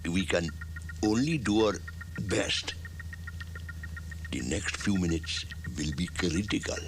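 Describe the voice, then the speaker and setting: A man's voice: sits low, slow. A man playing a blustery, loyal robot sergeant in a children's sci-fi puppet series, theatrical and military, on a very noisy old soundtrack. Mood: mock grave. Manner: mock-grave, military formality.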